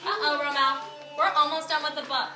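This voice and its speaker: Female primary teacher, high voice, animated read-aloud delivery for small children, recorded from a distance. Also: normal speed, faint music behind. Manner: animated, simple child-directed phrasing with emphatic storytelling. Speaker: teacher reading a storybook aloud to young children. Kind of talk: classroom read-aloud with questions to pupils. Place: indoor classroom. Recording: echoey noisy room, distant microphone, clicks.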